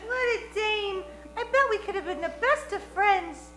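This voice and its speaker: A woman's voice: very high-pitched, animated, a little slow. A woman playing a goofy, perky, eager detective's assistant in a comic radio play. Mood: fond. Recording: echoey hall with music behind.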